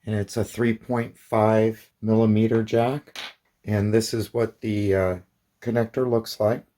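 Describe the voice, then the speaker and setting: Man calmly walking through steps. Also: fairly low pitch, measured pace. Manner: plain, step-by-step explaining. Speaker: hobbyist presenter. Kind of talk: hands-on tutorial demonstration.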